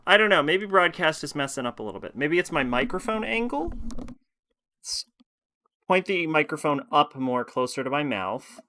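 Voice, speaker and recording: A man's voice: on the high side, sing-song, normal speed, sharp tone. A man streaming live, chatting casually and ramblingly with his viewers. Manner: chatty, rambling, talks to his chat and answers questions.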